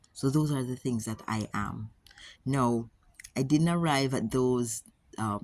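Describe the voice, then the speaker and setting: A woman with a Jamaican accent, speaking to camera as a wellness coach in a measured, earnest way, often repeating words for emphasis. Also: extremely low pitch, a little mellow, no echo. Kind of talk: casual talk to camera.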